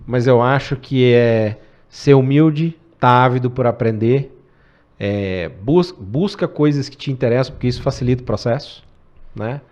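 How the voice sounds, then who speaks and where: A man's voice: mid-range voice, moderate pace, highs cut off. A man in his forties, a podcast guest talking casually, often adding cara and né. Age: 40s. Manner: relaxed, says cara and né often. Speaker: podcast guest, tech executive.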